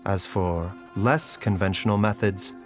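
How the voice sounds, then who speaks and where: An actor playing a composed, tactful doctor in a video game, speaking in a measured, formal, clinical manner. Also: male voice, sits low, steady tone, soft background music. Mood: calm, serious. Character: composed adult male doctor, grave and tactful.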